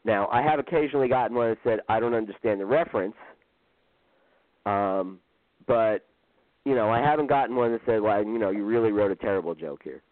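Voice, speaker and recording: A man in his fifties, an author being interviewed, talking casually and self-deprecatingly with frequent pauses and ums. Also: on the low side, no room sound. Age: 50s. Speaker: author guest. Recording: tinny phone-line sound, dry.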